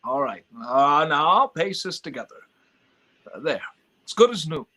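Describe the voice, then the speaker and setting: Man playing a harried father, radio drama, stammering, emphatic, highly animated, forceful bright tone. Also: moderate pace, exaggerated voice. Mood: gentle, weary. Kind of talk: live radio play reading.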